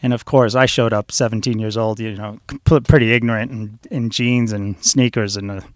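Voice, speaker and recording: A man in his forties talks casually as a guest, his voice smooth and resonant. He rambles through stories in a relaxed way, full of ums and uhs. The sound is dry and close. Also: lowish voice, normal speed, no echo. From born in Switzerland, raised in New York City. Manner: relaxed, rambling storyteller with frequent fillers. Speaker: chef guest. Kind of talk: casual interview talk.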